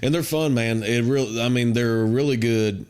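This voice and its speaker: A young man from with a naturally deep voice, chatting in a relaxed, drawling way as a podcast guest, full of "man" and "uh". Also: normal speed, smooth. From Kentucky. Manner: laid-back, drawling, many fillers like "man" and "uh". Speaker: country singer, guest. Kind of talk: casual interview chat.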